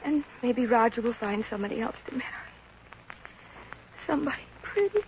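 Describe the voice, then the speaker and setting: A woman's voice: a little high, a little fast, darkish tone, natural voice. A woman playing a timid, lovelorn, fretful schoolteacher, earnest and plaintive, in 1950s radio comedy. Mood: forlorn. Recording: dull, noisy old radio recording.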